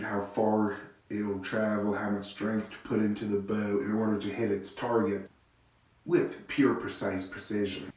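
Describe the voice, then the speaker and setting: A congested, stuffy-sounding man hosting a casual, rambling monologue from his home bathroom. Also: recorded from a distance. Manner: rambling, low-energy musing. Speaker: host. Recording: very echoey bathroom, voice off-mic.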